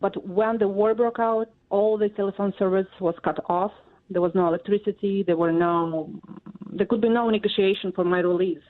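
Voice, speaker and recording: A woman in her forties from Russia, speaking English with a Russian accent as an interview guest, halting and full of fillers. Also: mid-range voice, fairly even intonation. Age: 40s. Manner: halting, many fillers and pauses. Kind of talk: interview answers. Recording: tinny phone line.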